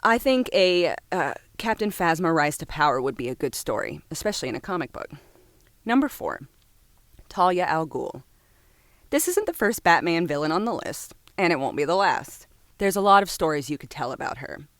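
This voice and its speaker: A woman with a low-pitched voice presents a list in a casual, reviewer's style. Her delivery swings widely in pitch, moving between read script and blunt, informal asides. The recording is close and dry, with very little room sound. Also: big pitch swings, moderate pace, no echo. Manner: opinionated asides, informal, swearing. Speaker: reviewer reading a comics top-ten list.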